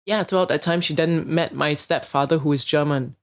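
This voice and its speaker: A woman in her thirties from Singapore, a guest in a casual podcast interview, telling her story in a relaxed way with thoughtful pauses. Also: low voice.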